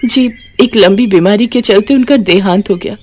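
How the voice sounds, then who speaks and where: A woman's voice: medium pitch, moderate pace, darkish tone, close to an everyday voice. A woman voicing a humble, anxious young widowed mother in a moral story. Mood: sad, pleading.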